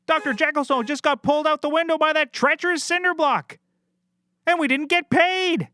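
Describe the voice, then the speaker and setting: A man playing a bumbling, oblivious, excitable private detective in a scripted audio comedy, melodramatic and cheerfully clueless. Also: very high voice, moderate pace, no echo. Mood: melodramatic dismay.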